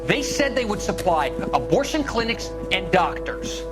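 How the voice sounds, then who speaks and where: An actor playing an anxious young medical student who pleads earnestly for help. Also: male voice, high-pitched, big pitch swings, moderate pace. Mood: pleading. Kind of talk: video game cutscene acting. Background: music score.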